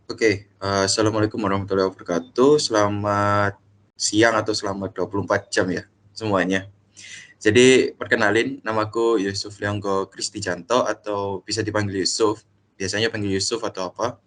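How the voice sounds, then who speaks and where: A man's voice: on the low side, steady tone, normal speed, fairly bright tone. A young man from East Java, a medical student guest, speaking hesitantly with many fillers in a casual interview. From East Java, Indonesia. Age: young adult.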